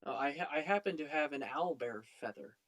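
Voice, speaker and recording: A man with a slightly high voice plays a tabletop role-playing game. He talks casually and playfully, as in relaxed table chat with fellow players. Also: a little fast.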